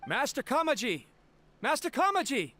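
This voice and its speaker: A man's voice: extremely high pitch, huge pitch swings, moderate pace, bright, forceful tone, faint music behind, full character voice. A man voicing a nervous young servant in a video game, polite and deferential with a hesitant stammer. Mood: calling out, worried. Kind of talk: English video game voice acting.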